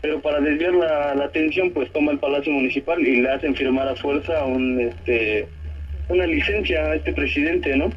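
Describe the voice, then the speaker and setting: A man's voice: fairly high pitch, a little hiss or hum. Mexican man on a muffled phone line. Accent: southern Mexican. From Oaxaca, Mexico. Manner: halting, many tag questions. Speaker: detained community activist giving a statement. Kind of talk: spoken testimony by phone to a press conference. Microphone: telephone. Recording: muffled, narrow-band telephone line.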